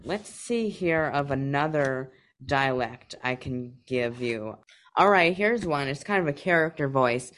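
A man's voice: medium pitch, lively intonation, unhurried, a bit bright. A man hosting a casual interview in a playful, joking way. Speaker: interview host.

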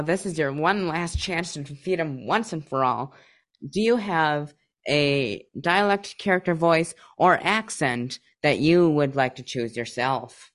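A man's voice: on the high side, fairly bright tone. A man hosting a casual interview in a playful, joking way. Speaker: interview host.

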